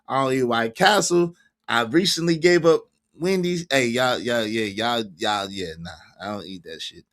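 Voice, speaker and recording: Bright, lively man chatting on a stream. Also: fairly high pitch. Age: around 50. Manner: animated, laughing, reacting to chat comments. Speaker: livestream host. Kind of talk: casual livestream chat with viewers.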